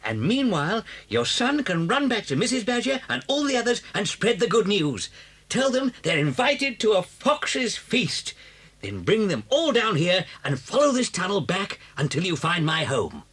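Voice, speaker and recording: A man's voice: high-pitched, wildly swooping pitch, normal speed, a bit bright, slightly rough, exaggerated voice. A British actor playing a sly, confident, charming father fox in a children's audio story, suave and theatrical, relishing his words. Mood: generous, triumphant.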